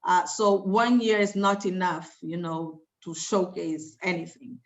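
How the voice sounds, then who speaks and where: A woman's voice: lowish voice, flat delivery, a little slow, muffled recording. A woman speaking on an online conference panel in an informal way, repeating words and using many fillers.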